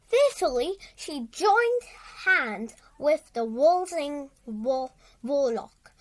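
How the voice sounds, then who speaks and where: High-pitched child reading a story aloud, slowly. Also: poor audio. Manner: halting, stumbles and repeats words while reading.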